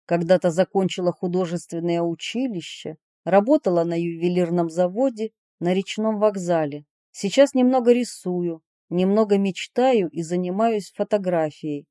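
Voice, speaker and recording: A woman reading personal letters aloud in an expressive way. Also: lowish voice, normal speed, hardly any pauses, dry recording, clean studio sound.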